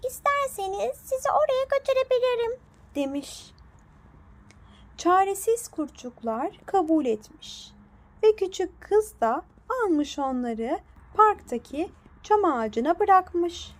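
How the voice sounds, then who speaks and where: A woman narrating a scripted bedtime story in a deliberate manner for young children. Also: high voice, a little mellow.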